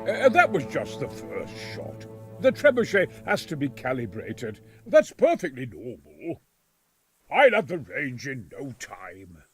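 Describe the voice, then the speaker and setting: An actor playing a self-assured, fussy medieval siege engineer in a video game, wordy and precise, with a slightly husky voice. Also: male voice, mid-range voice, huge pitch swings, a little slow, over music. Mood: defensive assurance. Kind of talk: dramatic video game acting.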